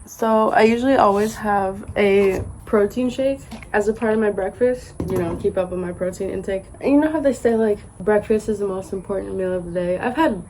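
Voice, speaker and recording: A woman's voice: mid-range voice, brisk, hardly any pauses, a little mellow, a little hiss or hum. A young woman vlogging while sick and stuffy, chatting casually and rambling with lots of "like", outdoors on a patio. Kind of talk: casual vlog chat. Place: outdoor patio by a golf course. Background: birds and outdoor ambience.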